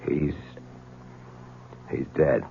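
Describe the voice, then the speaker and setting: An actor playing an earnest, shaken man urgently pleading in broken phrases, in an old radio drama. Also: extremely slow. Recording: dull vintage radio, faint hiss.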